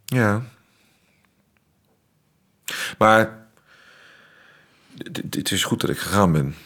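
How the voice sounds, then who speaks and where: An actor playing a cultured, brooding, articulate man in a radio play, with weighted, halting phrasing. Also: lowish voice, lively intonation, fairly bright tone. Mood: subdued, then curious.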